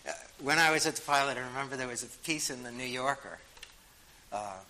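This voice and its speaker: A man's voice: mid-range voice, fairly quick, bright, forceful tone. An older man with a Boston accent answering questions in a frank, wry way with many fillers. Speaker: guest speaker answering audience questions. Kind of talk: casual spoken reply.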